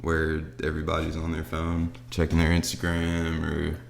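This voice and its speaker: Young male co-host, easygoing casual chat, deep low voice. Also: monotone, moderate pace, creaky, slight background noise. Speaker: podcast co-host.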